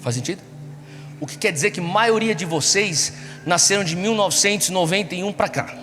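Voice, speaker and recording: A man's voice: high voice, fairly quick, sharp tone. A Brazilian man preaching to a young congregation, exhorting them with repeated rhetorical questions. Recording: echoey large hall, music behind. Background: music.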